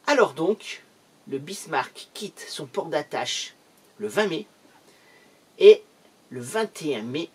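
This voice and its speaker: A man's voice: a little high, husky. A man presenting a military history lesson in an emphatic, declamatory way. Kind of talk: history lecture narration.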